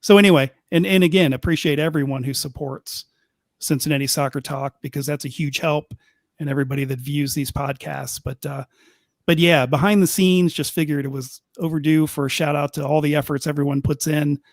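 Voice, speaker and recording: A man's voice: on the high side, fairly even intonation, a little fast, dry recording, clean studio sound. A middle-aged man, a longtime sports reporter on a podcast panel, talking casually with lots of restarts. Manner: rambling, many restarts and fillers. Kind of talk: casual chat.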